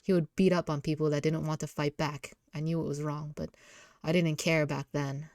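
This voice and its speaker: A brooding young man, acted out by a woman, low-pitched and close in a dry recording. He is battered and guilt-ridden, talking bluntly and wearily like a gruff love interest in a dating game. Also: flat delivery, normal speed, darkish tone, no echo. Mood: somber, reflective.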